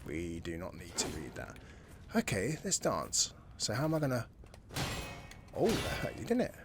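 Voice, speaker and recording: A man's voice: fairly low pitch, huge pitch swings, normal speed, very noisy. Man chatting over a game. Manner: chatty, thinks aloud, reads tutorial text. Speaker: gaming channel host. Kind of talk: casual gameplay commentary.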